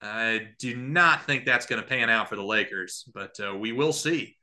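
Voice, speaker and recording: A man calling live basketball play-by-play as a podcast host, somewhat halting between plays. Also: on the low side, sharp tone.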